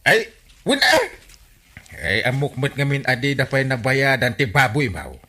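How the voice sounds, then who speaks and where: A man's voice: mid-range voice, expressive, moderate pace, sharp tone. A man acting a flustered, blustering village captain with a Filipino accent in a comedy radio drama. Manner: blustering, exclamatory, swooping interjections. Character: male village captain Inteng, excitable, flustered debtor.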